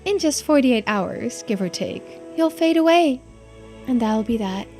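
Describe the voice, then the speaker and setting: A woman voicing a teasing, shrewd investigator in a visual novel, with a knowing, theatrical, drawn-out delivery. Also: on the high side, expressive, a little mellow, soft background music. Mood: cool bargaining.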